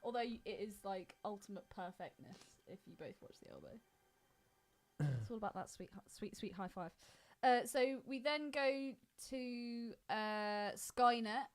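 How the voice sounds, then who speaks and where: A woman's voice: mid-range voice, lively intonation, measured pace. A woman co-hosting a podcast in casual, bantering chat, with an irreverent, crude sense of humour. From Kingston. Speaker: podcast co-host. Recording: music playing behind. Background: music.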